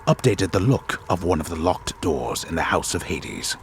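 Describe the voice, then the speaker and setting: A man playing a solemn, disembodied storyteller of myth in a video game, reading scripted notes in a grave, measured, theatrical manner. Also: deep voice, sing-song, gravelly, a little hiss or hum, exaggerated voice. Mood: measured, formal. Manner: grave, measured, theatrical delivery of dry text. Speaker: game narrator.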